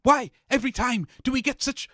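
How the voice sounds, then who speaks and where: A man hosting a scripted comedy radio show, grumpy, sarcastic and quick to insult his callers. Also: very high-pitched, pushed and bright, dry recording, studio quality.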